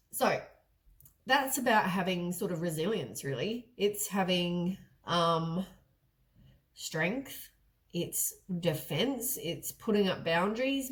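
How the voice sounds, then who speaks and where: A woman with a stuffy, congested voice from a head cold, giving a casual tarot card reading. She rambles and often corrects herself mid-sentence. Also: on the low side. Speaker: tarot reader.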